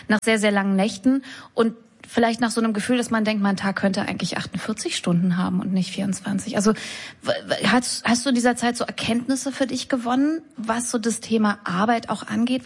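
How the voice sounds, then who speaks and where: A woman radio host introducing and interviewing a guest in the studio, with a measured, unhurried presenting manner. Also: mid-range voice, husky.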